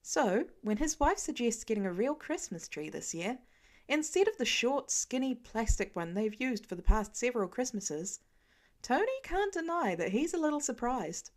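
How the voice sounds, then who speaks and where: A woman narrating a fan fiction audiobook in an expressive storytelling manner. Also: moderate pace. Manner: expressive storytelling, varied pitch. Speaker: audiobook reader.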